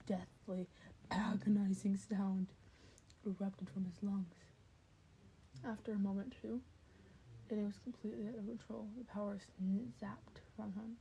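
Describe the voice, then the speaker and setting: A woman's voice: medium pitch, mellow, slight background noise, rough recording. A woman narrating fan fiction as an amateur audiobook reader, now and then stumbling and repeating a phrase. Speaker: podfic reader.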